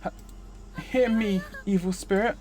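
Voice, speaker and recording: A man's voice: high voice, fairly even intonation, measured pace, a little mellow, pitched above the performer's own voice. A man voicing a shy, girlish young man from a visual novel, with hesitant, stammering speech. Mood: timid, hesitant.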